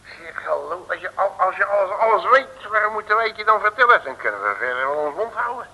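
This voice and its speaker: Radio serial sleuth: sardonic, folksy, unflappable, with a piercing gravelly voice and animated melody. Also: male voice, sits high, quick pace, heavily acted, rough recording. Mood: mocking. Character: cheeky, unflappable working-class male detective. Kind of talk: 1950s Dutch radio play acting.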